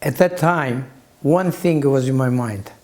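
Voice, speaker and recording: A man in his eighties with a Greek accent, recalling his early life as an interview guest in halting phrases with long pauses. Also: mid-range voice, darkish tone. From Greece. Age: 80s. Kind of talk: slow reflective recollection.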